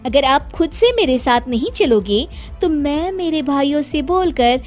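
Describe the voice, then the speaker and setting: A woman's voice: sits high, animated, unhurried, darkish tone, faint music behind. A woman putting on a bossy spoiled young wife. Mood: demanding. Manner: demanding, threatening. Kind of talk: cartoon voice acting.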